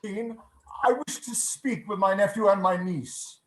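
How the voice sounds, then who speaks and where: Echoey, noisy line: actor playing old male miser, high voice, slow, halting, humbled and pleading. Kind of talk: radio play acting, live reading. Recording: noisy, echoey video call with clicks.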